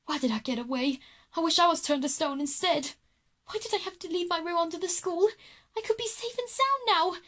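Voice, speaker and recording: A woman's voice: very high-pitched, a little fast, fairly bright tone, pitched above the performer's own voice. A woman voicing a self-pitying, melodramatic schoolgirl in a children's audio drama, wailing and regretful. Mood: distraught.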